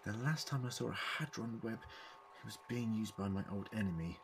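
An actor playing an eccentric, clever time-travelling man in an audio drama, deliberate and formally worded as he explains. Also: lowish voice, gravelly, slight background noise. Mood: measured, explaining.

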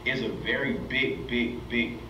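A man's voice: medium pitch, fairly even intonation, measured pace, pushed and bright, dull audio. Streetwise young man, echoey and distant. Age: young adult. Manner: emphatic, streetwise, repeats "you know what I mean". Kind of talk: panel discussion. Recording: video played in an echoey hall, off-mic, noisy.

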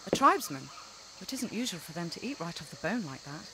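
A woman's voice: on the low side, sing-song, a little fast, noisy background. An actress with a British accent playing a cool, sardonic young woman adventurer in a game, dry and unflappable. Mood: cool, brisk.

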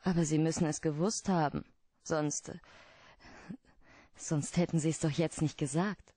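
An actress in a German radio play as a secretive, seductive femme fatale client, guarded and formally beseeching. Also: female voice, low voice, steady tone, a little slow, warm tone, no room sound. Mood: pleading, seductive.

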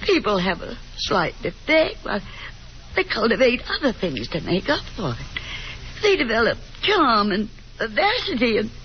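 A woman's voice: a little high, wildly swooping pitch, moderate pace. An actress playing a fussy, talkative, hopeful middle-aged mother in a 1950s radio drama, with a dramatic, insistent manner. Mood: coaxing, insistent. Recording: dull, noisy 1950s radio broadcast.

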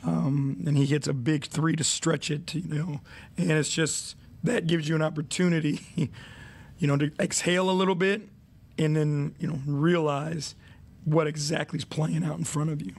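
A man in his forties, a head coach answering reporters at a postgame press conference, speaking into a desk mic in an arena press room, measured and reflective with many fillers. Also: fairly high pitch, little pitch movement, vocal fry, husky.

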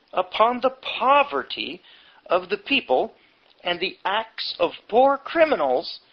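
Man, preachy read-aloud style, very varied intonation, deliberate pauses, dull lo-fi sound. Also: on the high side. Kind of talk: reading a history book aloud with preachy asides.

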